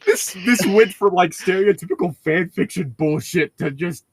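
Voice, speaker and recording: A man's voice: high-pitched, normal speed, slightly rough, no echo. A man casually reading fan fiction aloud with friends, cutting in with sweary, mocking asides. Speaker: fan fiction podcast reader. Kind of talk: casual comic read-aloud and banter.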